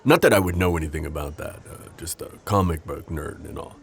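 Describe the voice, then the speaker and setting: A man's voice: very deep voice, animated, brisk, gravelly, slightly rough, full character voice. A man playing a sardonic, protective civilian coworker with something to hide, in an audio roleplay. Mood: defiant, protective. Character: sardonic, protective male civilian coworker hiding a secret identity.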